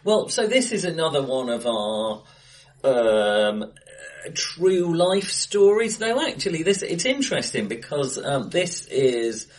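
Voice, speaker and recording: A man co-hosting a casual film discussion in a bright room, speaking in stop-start phrases with emphatic bursts. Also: fairly high pitch, sing-song, takes it slowly. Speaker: podcast co-host. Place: acoustically bright room, face to face.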